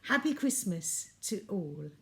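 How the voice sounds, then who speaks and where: A woman reading a children's poem aloud as a storyteller, with expressive, dramatic emphasis. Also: mid-range voice, slow, creaky.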